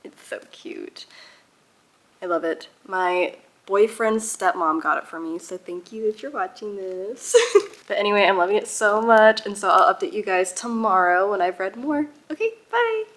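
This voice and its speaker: A woman's voice: medium pitch, expressive, normal speed, fairly bright tone. A young woman chatting casually to viewers as a book vlogger, with a gushing, giggly and emphatic manner. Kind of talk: casual reading vlog chat.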